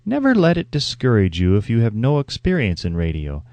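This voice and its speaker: A man's voice: mid-range voice, huge pitch swings, brisk, a little mellow, no room sound. A man reading a scripted audiobook as narrator, with an emphatic, instructive delivery.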